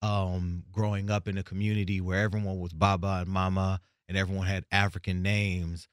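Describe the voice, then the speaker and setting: A man in his forties, born in Tanzania, telling his story in a relaxed podcast chat. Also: low voice, fairly quick, clean tone, no room sound, studio quality. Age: 40s. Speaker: executive producer, guest.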